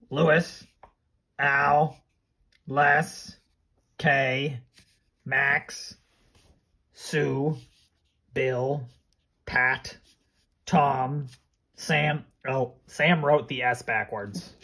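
A performer voicing a playful, curious pirate companion with a gravelly, husky voice, chatting simply along with a storybook reading. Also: mid-range voice, extremely slow, long pauses, fairly bright tone, heavily acted. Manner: simple, curious remarks and questions. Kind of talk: casual read-along chat.

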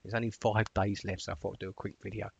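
A man narrating an informal software tutorial in a chatty, directive way, stressing the starts of his phrases. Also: fairly low pitch, level tone, fast talker, vocal fry, highs cut off, crowd noise. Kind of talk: informal instructional screencast narration.

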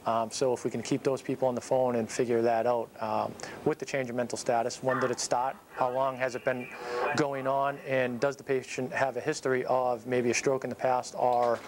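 A man in his thirties giving an informal training talk as an instructor, explaining things in a halting, matter-of-fact way. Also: mid-range voice, flat delivery, a little hiss or hum, low-quality recording. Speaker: paramedic instructor. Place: small dispatch office, indoors. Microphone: clip-on lapel mic.

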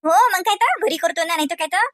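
A woman's voice: very high voice, fairly quick, a bit bright, dry recording, studio quality. A woman voicing an affectionate, chatty aunt and housewife in a comedy cartoon, with a homely, gushing manner. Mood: cheerful, busy.

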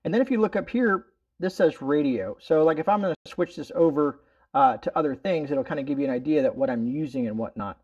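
A man's voice: on the high side, brisk, darkish tone, highs cut off. A man hosting a casual product demonstration indoors at home, explaining things in a rambling, folksy way. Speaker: host of a product review video.

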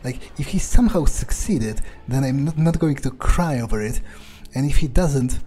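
A man improvising in-character game narration, halting and sweary as he mutters to himself. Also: mid-range voice, lively intonation, mellow, slightly rough. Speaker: player role-playing his game character.

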